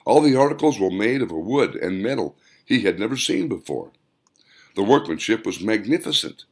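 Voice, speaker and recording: A man reading a scripted story aloud as an audiobook narrator, with deliberate, dramatic emphasis. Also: lowish voice, big pitch swings. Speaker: author narrating own audiobook.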